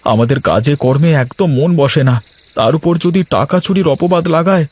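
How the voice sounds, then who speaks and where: A man's voice: fairly high pitch, lively intonation, brisk, darkish tone. A man playing a humble, aggrieved labourer in a cartoon, plaintive and complaining. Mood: dejected, aggrieved. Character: humble aggrieved male labourer, husband, protesting innocence. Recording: light background music.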